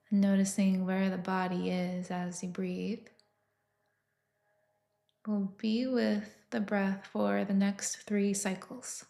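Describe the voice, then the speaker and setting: A woman leading a guided meditation with soft, soothing, unhurried instruction and long pauses between phrases. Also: medium pitch, creaky, echoey room.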